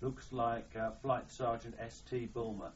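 A man's voice: medium pitch, steady tone, unhurried. A man interviewing a war veteran, asking probing questions and reading names from a list. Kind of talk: oral history interview. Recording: dull lo-fi recording with faint noise.

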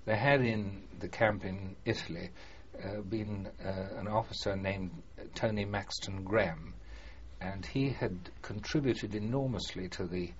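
An older man being interviewed about his past, speaking formally and hesitantly with many pauses and fillers. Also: fairly low pitch. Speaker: former prisoner of war, interviewee. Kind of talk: oral history interview. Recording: muffled archive recording with faint hiss.

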